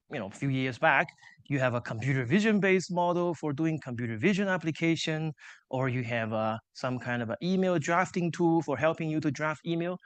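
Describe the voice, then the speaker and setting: Chinese-native man giving a lively seminar lecture. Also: fairly high pitch. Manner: explanatory, self-deprecating humor, frequent 'so-called' and 'right?'. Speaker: invited seminar speaker, engineering professor. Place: lecture room with live audience.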